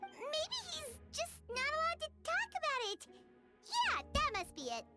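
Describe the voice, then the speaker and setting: Squeaky little game sidekick fairy, childlike and chirpy, wildly animated, music behind. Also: female voice, unhurried, full character voice. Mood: earnest, loyal. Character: tiny chirpy childlike floating fairy companion, loyal and curious. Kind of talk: video game voice acting. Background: playful game music.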